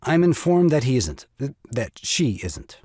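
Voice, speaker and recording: A man's voice: mid-range voice, big pitch swings, unhurried, darkish tone, breathy edge, no room sound, studio quality. An actor playing a scheming young husband and new father in a farce, sarcastic and mock-tragic. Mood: plaintive. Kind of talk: stage farce audio drama acting.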